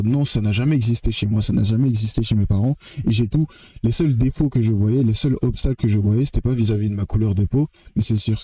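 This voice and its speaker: A man's voice: mid-range voice, mellow, slightly rough, no room sound. A young man from French Guiana giving a blunt, emphatic opinion monologue to the camera, swearing freely and often repeating or restarting his phrases. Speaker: vlogger.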